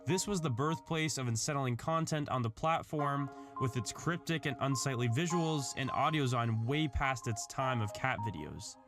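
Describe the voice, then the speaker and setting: A man's voice: mid-range voice, expressive, moderate pace, few pauses. A man reading an earnest, articulate scripted narration in the style of a video essay. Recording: background music under the voice. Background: music.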